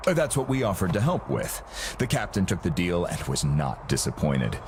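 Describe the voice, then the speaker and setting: A man playing a smooth, self-satisfied arms-dealer boss with grand ambitions, speaking in a theatrical, businesslike way in a game cutscene. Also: low voice, big pitch swings, moderate pace, background noise. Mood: grandiose. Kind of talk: video game cutscene acting.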